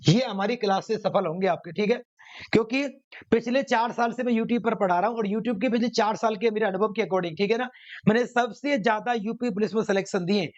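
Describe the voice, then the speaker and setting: High-pitched man, emphatic coaching teacher. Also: a little fast. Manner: emphatic, repeats phrases and asks himself rhetorical questions. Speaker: maths teacher running online exam classes. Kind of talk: exam coaching lecture to students. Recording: dull, lo-fi sound.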